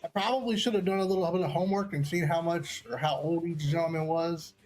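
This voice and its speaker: Slightly high-voiced man, profane casual commentary. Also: fairly even intonation, fast, darkish tone, rough recording. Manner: profane, slangy, enthusiastic. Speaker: segment host and match commentator. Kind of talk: casual wrestling watch-along commentary.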